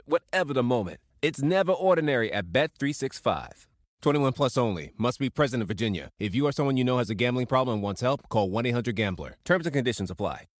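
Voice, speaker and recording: A man's voice: mid-range voice, no echo, clean studio sound. A man reading a scripted commercial with upbeat, punchy delivery. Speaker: ad announcer.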